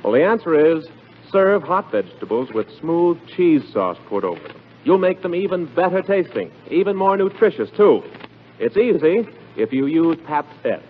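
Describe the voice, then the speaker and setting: A man's voice: a little high, very animated, normal speed. A man announcing and reading an advertisement in a 1940s radio style. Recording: muffled, slightly noisy 1940s radio.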